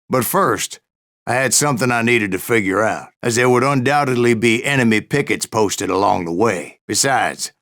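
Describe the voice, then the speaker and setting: A man's voice: mid-range voice, fairly bright tone. An actor playing an old American bounty hunter with a Western drawl, narrating his exploits in a western video game with wry, boastful folksiness. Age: older. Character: grizzled old bounty hunter gunslinger telling tall tales of the Wild West.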